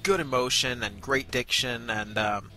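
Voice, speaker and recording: Chatty review host, very bright forward voice, casual banter with mock-formal touches, faint music behind. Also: mid-range voice, measured pace. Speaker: amateur web show review host. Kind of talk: casual banter with playful announcements.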